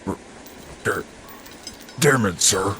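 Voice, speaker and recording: A man's voice: fairly low pitch, takes it slowly, fairly bright tone, a little hiss or hum. A man playing a nervous young dwarf farm recruit, hesitant and deferential, in a fantasy audio drama. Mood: nervous deference. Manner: hesitant, stammering.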